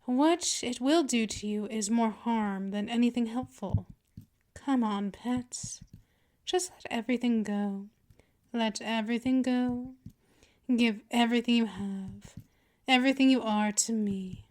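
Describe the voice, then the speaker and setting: A woman's voice: a little high, darkish tone, lightly acted. A woman playing a dominant, seductive vampire mistress in an ASMR roleplay, coaxing and condescending, speaking intimately to the listener. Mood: commanding, possessive. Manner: slow, coaxing, condescending, drifting into whispers. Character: dominant seductive female vampire mistress, patient, teasing, possessive.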